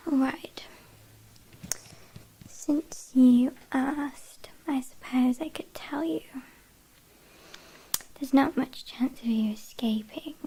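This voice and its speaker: A British woman playing a haughty, condescending vampire kidnapper in a breathy, whispered ASMR roleplay, with a dryly teasing manner. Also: high-pitched, warm tone, exaggerated voice, low-quality recording. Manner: slow, disdainful, dryly teasing.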